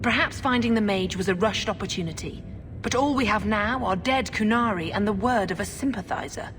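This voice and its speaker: A woman playing a haughty, scheming religious sister in a video game, with a clipped, condescending, measured delivery. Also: mid-range voice, a bit bright, lightly acted. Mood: cool, defensive. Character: haughty, scheming Chantry sister, coolly calculating. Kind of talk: video game cutscene acting. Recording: long hall reverb, clear background noise.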